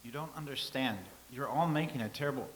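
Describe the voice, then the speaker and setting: A man in a staged reading playing a humble, earnest follower of Christ from Nazareth, modest and measured. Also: medium pitch, a bit bright, lightly acted. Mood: confused, insistent. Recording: hissy, clearly noisy recording.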